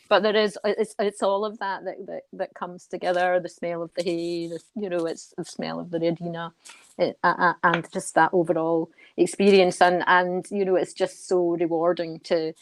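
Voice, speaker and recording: A woman's voice: lowish voice, dry recording. A woman speaking as a guest in an informal radio interview, earnest but hesitant, often repeating words as she goes. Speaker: interview guest, charity trustee and volunteer riding coach.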